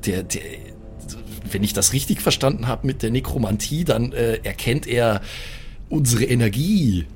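A man's voice: medium pitch, animated, moderate pace, darkish tone, faint music behind. A man speaking as a player in a tabletop role-playing game, casually discussing in character with careful, hedging phrasing.

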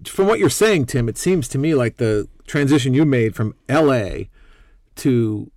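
A man's voice: medium pitch, darkish tone, no echo. Host: man, mid-range voice, casual conversational interviewing, dry studio-like sound. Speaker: podcast host.

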